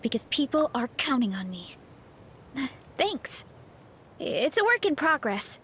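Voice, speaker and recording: A woman voicing a cheerful young heroine in an anime video game, brave but gentle, earnest and energetic. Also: sits high, unhurried, slight background noise, exaggerated voice. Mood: earnest, reflective.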